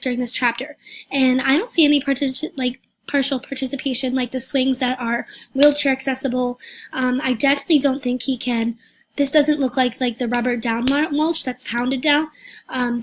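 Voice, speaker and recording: A hoarse young woman giving a recorded class presentation, talking hesitantly with many fillers and restarts. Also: sits high, slight background noise. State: hoarse, losing her voice. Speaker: student presenter.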